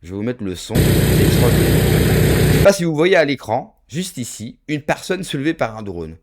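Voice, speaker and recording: A man's voice: medium pitch, big pitch swings, moderate pace, noisy background. Emphatic French-born man ranting to viewers. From France. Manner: emphatic, rhetorical, addresses viewers directly. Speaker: political video commentator. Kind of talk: opinion monologue to camera.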